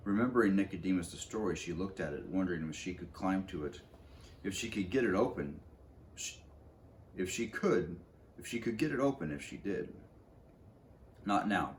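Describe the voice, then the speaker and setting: A man reading a storybook aloud as narrator, informally, sometimes stumbling and rereading, with some background noise. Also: low-pitched.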